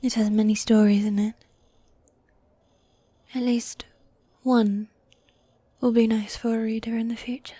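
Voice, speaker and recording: A woman voicing a teasing, tender demon girlfriend in a medieval fantasy ASMR roleplay, speaking in soft breathy whispers with formal, old-fashioned phrasing. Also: a little high, flat delivery, unhurried, long pauses, no room sound. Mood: affectionate teasing. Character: gothic demon girlfriend, teasing, tender, dominant yet needy.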